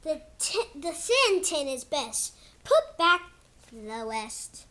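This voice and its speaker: A child hosting a read-aloud of a picture book, reading haltingly like a beginner and drawing words out. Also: sits high, big pitch swings, bright, forceful tone.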